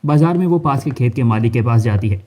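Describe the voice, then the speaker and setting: A man's voice: mid-range voice, lively intonation, fast talker, a little mellow. A man narrating a scripted cartoon story as its narrator.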